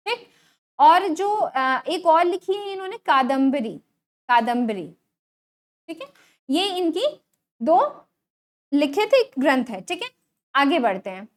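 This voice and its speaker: A woman with an Indian accent and a very high, swooping voice gives an online exam lesson. She reads out questions and choices in an instructional way, then repeats the key answer and checks in often. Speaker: online exam-prep teacher. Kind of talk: quiz lesson, reading questions and options then giving answers.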